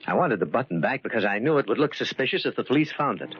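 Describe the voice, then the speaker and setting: An actor playing an evasive, grandiose masquerade host under suspicion, in 1940s radio acting style. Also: male voice, mid-range voice, sing-song, fast, close to an everyday voice. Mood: evasive, tense. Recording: noisy, muffled old-time radio recording.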